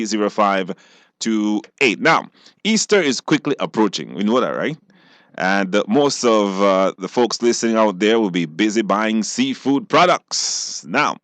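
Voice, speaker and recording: A man's voice: fairly low pitch, a bit bright, dry recording. A man with a Belizean accent hosting a live radio call-in show and talking to guests and listeners.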